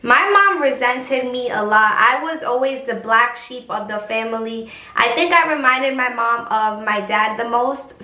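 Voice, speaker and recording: Chatty young woman with a bright voice. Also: reverberant. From New York. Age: 20s. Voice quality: high, squeaky voice. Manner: chatty, frank, slangy. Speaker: vlogger. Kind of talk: casual talk to camera.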